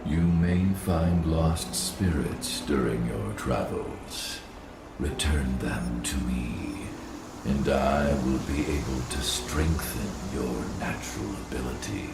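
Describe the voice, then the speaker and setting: A man playing an ancient, weakened nature spirit in a video game, solemn, kindly and measured, with a deep gravelly voice. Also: heavily acted. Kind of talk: dramatic video game acting. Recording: cavernous echo, distant, music underneath.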